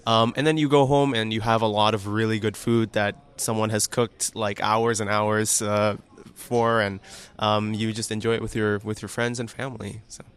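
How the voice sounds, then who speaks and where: Young man from indonesia, smooth clear voice, low-ish pitch, relaxed casual chat. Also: normal speed. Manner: friendly, easygoing, with many ums and laughs. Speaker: graduate student. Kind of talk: casual interview.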